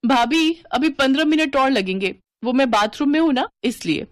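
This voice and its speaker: A woman's voice: sits high, lively intonation, normal speed, no echo, clean studio sound, pitched above the performer's own voice. A woman voicing an aggrieved young bride in a family story, plaintive and protesting as she reasons. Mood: feigned innocence. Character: young rich bride, aggrieved but reasoning sister-in-law. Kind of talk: Hindi storytelling drama.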